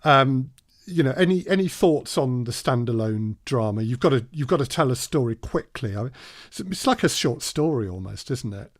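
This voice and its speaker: A man's voice: mid-range voice, lively intonation, normal speed, no echo, clean studio sound. A man co-hosting a workshop in casual conversation, putting questions and prompts to a fellow speaker. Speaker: workshop co-host and interviewer.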